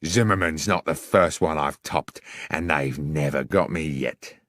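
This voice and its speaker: A man voicing a gruff, callous, boastful murderer from Victorian London in an audiobook, coarse and threatening. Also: extremely low pitch, measured pace, fairly bright tone, no echo, studio quality, pitched below the performer's own voice. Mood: menacing, boastful. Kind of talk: audiobook character acting.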